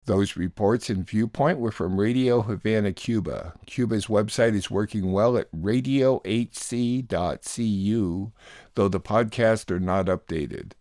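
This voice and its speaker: Low-voiced man hosting a news show. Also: unhurried, a little mellow, no echo, clean studio sound. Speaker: radio show host. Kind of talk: plain scripted news links.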